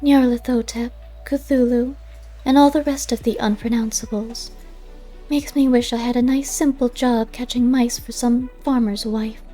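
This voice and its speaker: Woman voicing a wry, mellow, high-pitched she-cat. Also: fairly even intonation, a little slow, faint music behind, lightly acted. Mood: wry grumbling. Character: wry, curious female cat, a skeptical companion. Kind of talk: audiobook character voice.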